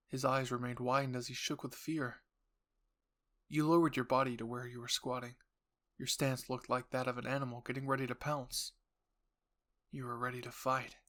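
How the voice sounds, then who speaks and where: A man's voice: medium pitch, a bit bright. A man reading dramatic scripted narration for a roleplay story, addressed to the listener in the second person.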